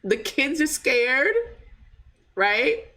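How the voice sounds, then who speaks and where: A woman's voice: sits high, lively intonation, extremely slow, pushed and bright. A woman from Jamaica with a light Jamaican accent, telling viewers her own story in a chatty, wandering way and often checking in with "right?". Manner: chatty, digressive, keeps asking "right?". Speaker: channel host.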